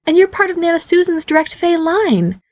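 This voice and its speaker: Casual: lady voicing a chirpy jittery fairy mom, high pitched, keeps reassuring everyone. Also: big pitch swings, normal speed, a little mellow, dry recording. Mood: chirpy nervous. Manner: eager, reassuring, nervous, bubbly.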